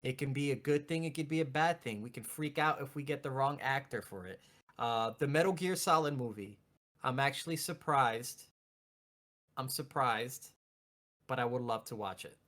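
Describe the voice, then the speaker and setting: A man co-hosting a casual chat, excitable and given to repeating his phrases for emphasis. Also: mid-range voice, normal speed. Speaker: podcast co-host. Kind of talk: casual chat about video game movies.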